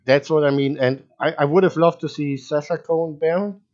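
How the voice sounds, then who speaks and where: A man hosting a podcast in casual chat, relaxed and teasing, laughing often. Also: fairly high pitch, a little fast. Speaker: podcast host. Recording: slightly dull lo-fi audio.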